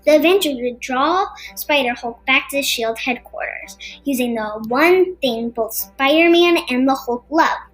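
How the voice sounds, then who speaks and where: A woman narrates a children's story in a high-pitched voice. She reads from a script as a storyteller for young listeners. Soft music plays behind her. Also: unhurried, hardly any pauses. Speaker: storybook reader for a podcast. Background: background music.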